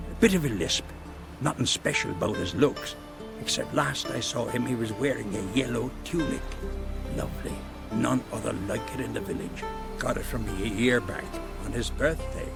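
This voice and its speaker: An actor playing a plain-spoken, middle-aged village father in a video game, folksy and emphatic, with a creaky voice. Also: mid-range voice, moderate pace, natural voice. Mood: fretful, fond. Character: plain-spoken village father, fretful and fond of his son. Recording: music behind the voice. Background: game music.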